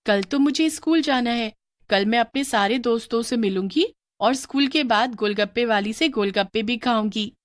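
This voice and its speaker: A woman voicing a cheerful schoolgirl who loves street snacks, bubbly and excited. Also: a little high, moderate pace, dry recording, studio quality, lightly acted.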